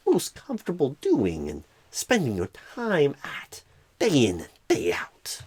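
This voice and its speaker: A man voicing a clueless, rambling job recruiter in an ASMR roleplay, with a breathy, husky voice and halting phrasing full of odd pauses and stresses. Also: medium pitch, wildly swooping pitch, a little hiss or hum. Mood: probing, rambling.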